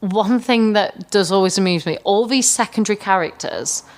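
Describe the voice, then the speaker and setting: A woman with a medium-pitched voice co-hosts a relaxed review chat. She thinks out loud, qualifying what she says and then repeating herself for emphasis. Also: normal speed. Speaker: podcast co-host. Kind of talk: casual film review chat.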